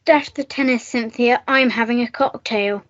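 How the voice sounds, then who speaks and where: A flamboyant, high-voiced upper-class English schoolgirl as a woman acts her in a radio melodrama. She is breezy and theatrical, fond of her own drama. The sound is a little dull and lo-fi. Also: normal speed, natural voice. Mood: flippant, indignant. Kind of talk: comic melodrama radio play. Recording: dull lo-fi recording.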